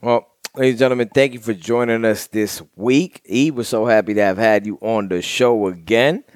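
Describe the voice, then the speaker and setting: A young man hosting a podcast, talking in an animated, emphatic way and swearing freely in casual chat. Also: lowish voice, normal speed, no echo, studio quality. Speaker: podcast host.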